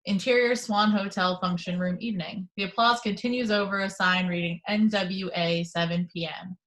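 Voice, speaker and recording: Female narrator, medium pitch, scripted screenplay narration, action descriptions. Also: fairly even intonation, moderate pace, fairly bright tone, low-quality recording.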